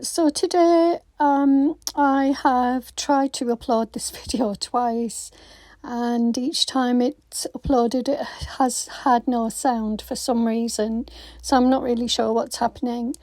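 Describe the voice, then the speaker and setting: Craft vlogger woman, croaky, mellow and slow, lots of ums, dry voiceover. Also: a little high, dry recording. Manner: hesitant, many ums and restarts. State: croaky voice after illness. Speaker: craft channel host. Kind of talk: casual voiceover for a craft video. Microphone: phone.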